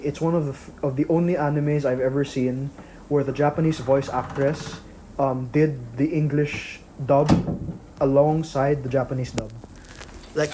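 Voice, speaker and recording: A man's voice: medium pitch, darkish tone, a little hiss or hum, low-quality recording. A man co-hosting a podcast in casual chat, rambling and full of fillers. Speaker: podcast co-host.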